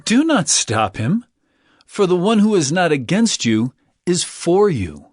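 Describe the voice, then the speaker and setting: A man's voice: high-pitched, big pitch swings, a little slow, dry recording, studio quality, exaggerated voice. A man playing an earnest, authoritative teacher in a dramatized audio Bible, speaking in a declamatory way. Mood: instructing. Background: music.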